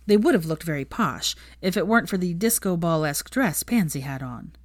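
A woman's voice: fairly low pitch, lively intonation, fairly quick, no echo, studio quality. A woman reading fan fiction aloud as scripted story narration.

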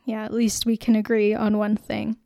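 A woman's voice: medium pitch, completely flat delivery, normal speed, vocal fry, dark tone, dry recording, studio quality. A woman co-hosting a casual political podcast, explaining at length with a wry, sarcastic edge and frequent laughs. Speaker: podcast co-host.

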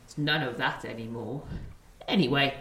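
A woman acting a proud, sharp-tongued elderly grandmother in an audio play, with haughty, sarcastic retorts. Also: very deep voice, normal speed, reverberant, low-quality recording. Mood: indignant.

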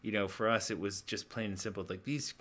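Deep-voiced man in his thirties, low pitch, relaxed chatty podcast co-host. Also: brisk. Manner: laid-back, chatty, laughs often. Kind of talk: casual chat.

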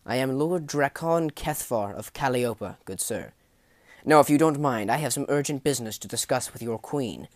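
A man's voice: medium pitch, expressive, moderate pace, no echo, natural voice. A man plays a poised lord on his travels in a fantasy audiobook. He speaks formally and courteously, with self-assured insistence, close and dry on the mic. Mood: polite, confident. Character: poised male lord, mischievous traveler, politely assertive. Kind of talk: audiobook character voice.

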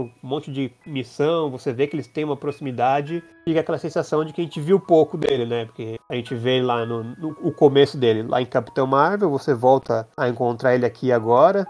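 A man's voice: medium pitch, a little mellow. A man, a podcast host talking casually and thinking aloud with many restarts. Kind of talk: casual chat.

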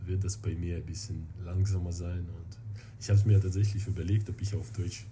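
Russian guy w low voice chatting casually, searches for words, noisy recording. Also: level tone. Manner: halting, searching for words in non-native German. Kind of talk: casual interview chat.

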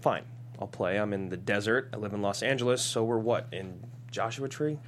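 An actor playing a cocky, sarcastic, hard-partying charmer in a comedy script read. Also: male voice, fairly low pitch, moderate pace, lightly acted. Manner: glib, sarcastic. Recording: clear background noise and hum.

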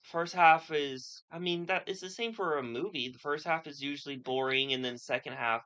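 A man co-hosting a casual podcast chat, matter-of-fact and quick to finish his co-host's sentences. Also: on the high side, bright, forceful tone, lo-fi sound. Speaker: podcast co-host.

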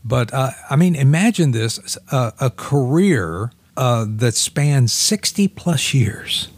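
A man co-hosting a casual music talk show, agreeable and a little halting as he thinks aloud. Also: mid-range voice, lively intonation. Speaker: co-host and quiz contestant. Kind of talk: casual radio-style music chat.

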